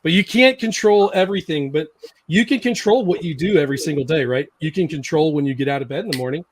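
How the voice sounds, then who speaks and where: Brief: middle-aged male host, slightly high voice, emphatic and animated. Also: fast talker. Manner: animated, emphatic, laughs often. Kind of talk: casual live-stream chat.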